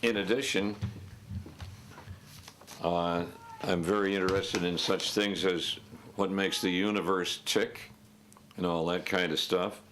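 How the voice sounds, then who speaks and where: A man's voice: deep voice, fairly even intonation, measured pace, pauses often, fairly bright tone, a little hiss or hum. A man in his eighties from upstate New York, speaking unhurriedly in an interview with a few "uh" fillers. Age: 80s. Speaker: interviewee, pianist.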